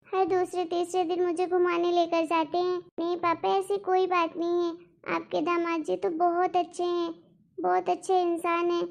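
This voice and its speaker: A woman acts out a young, long-suffering wife in a cartoon melodrama. Her voice is extremely high, gentle and plaintive, with earnest phrasing. The audio is slightly dull. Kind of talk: Hindi cartoon family melodrama.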